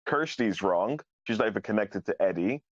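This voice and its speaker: A man's voice: on the low side, lively intonation, normal speed, dry recording, lo-fi sound. A man talking casually as a podcast panelist, blunt and opinionated, prone to swearing.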